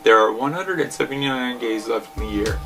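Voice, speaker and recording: A man reading a scripted history segment as an announcer, with loud music behind him. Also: mid-range voice, fairly quick, poor audio. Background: loud hip hop music.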